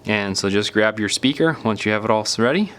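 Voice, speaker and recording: A man's voice: lowish voice, animated, brisk, fairly bright tone, smooth. A man narrating a hands-on how-to in an unscripted, casual step-by-step way, sometimes leaving phrases unfinished. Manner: casual step-by-step explaining, trailing off mid-phrase. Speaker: repair tutorial presenter.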